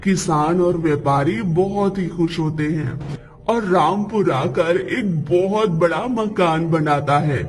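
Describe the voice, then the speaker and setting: Slow, high-voiced male storyteller. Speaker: story narrator. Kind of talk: scripted storybook narration.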